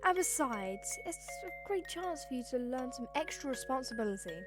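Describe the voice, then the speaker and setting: A prim and patient motherly gem from a fan-made cartoon, performed by a woman. The voice is on the high side, with music running behind it. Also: big pitch swings, normal speed. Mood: dismissive, reasoning. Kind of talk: amateur comic dub acting.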